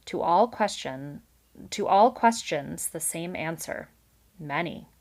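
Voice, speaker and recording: A woman's voice: on the low side, lively intonation, takes it slowly, darkish tone. Woman reading a children's story aloud. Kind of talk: expressive read-aloud narration with brief recap.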